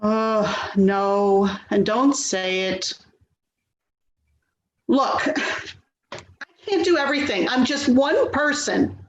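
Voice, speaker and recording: A woman's voice: fairly high pitch, takes it slowly, pauses often. A woman acting a harried, placating adult daughter in a readers theater play, weary, hesitant and pleading. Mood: exasperated. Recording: dull, echoey, clearly noisy audio.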